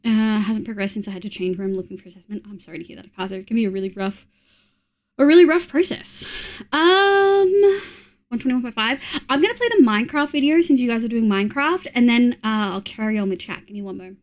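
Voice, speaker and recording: A young woman streaming, chatting with viewers in a relaxed, supportive way while congested from a cold. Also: on the high side, quick pace. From Australia. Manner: chatty, supportive, thinks aloud with fillers. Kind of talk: casual chat reading viewer messages.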